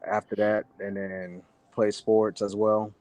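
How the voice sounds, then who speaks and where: A man's voice: on the low side, flat delivery, slow pace, darkish tone. A young man from Sudan calls in to a live show. He talks casually, in a laid-back and rambling way. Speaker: caller. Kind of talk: casual chat.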